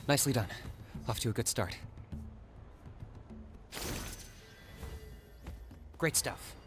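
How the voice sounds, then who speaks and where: A man voicing a calm, practical young warrior in a video game, giving instructions in a cool, level way. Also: medium pitch, fairly quick, over music, natural voice. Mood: encouraging.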